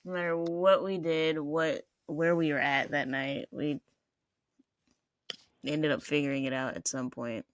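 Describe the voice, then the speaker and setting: A woman in her twenties hosting a podcast, chatting in a relaxed, agreeable way and asking probing questions. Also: low voice, unhurried, no echo. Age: 20s. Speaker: podcast host. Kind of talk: casual interview chat.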